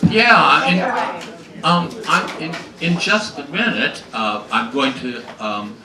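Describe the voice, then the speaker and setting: Lively-pitched man hosting in an echoey salon. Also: slow, crowd noise. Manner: rambling, hesitant, warm banter. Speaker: host and pianist. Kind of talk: casual onstage introduction. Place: small salon performance venue. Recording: roomy, distant, noisy live room.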